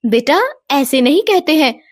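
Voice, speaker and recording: A devout young mother voiced by a woman talks in a fairly high, very expressive voice. She is gentle and practical, in animated storybook style. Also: unhurried.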